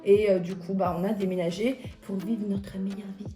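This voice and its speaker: A woman from Belgium talks casually with plenty of fillers and restarts. Her voice is mid-pitched and sits in a roomy, echoing space, with soft music underneath. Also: little pitch movement, normal speed. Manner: chatty, gives life advice, many fillers. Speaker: beauty vlogger answering questions. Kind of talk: casual chat.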